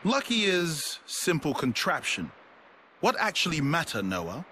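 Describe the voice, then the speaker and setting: A man's voice: a little high, huge pitch swings, measured pace, bright, forceful tone, a little hiss or hum, full character voice. A man voicing a small, blunt, secretive male creature in a video game, speaking in clipped broken grammar and naming himself in the third person. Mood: matter-of-fact. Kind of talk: video game cutscene voice acting.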